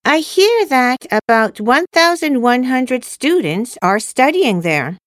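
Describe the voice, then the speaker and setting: A woman plays a cheerful, eager girl student in a scripted English lesson dialogue. Her voice is high and she speaks slowly, with careful textbook enunciation. The sound is dry and close. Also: sing-song, dry recording, heavily acted.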